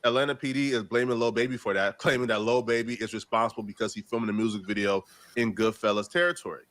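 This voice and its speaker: A man with an average-pitched voice delivers casual news commentary as an online commentator. He is opinionated and conversational, using slang and sounding incredulous about what he reports. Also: a bit bright.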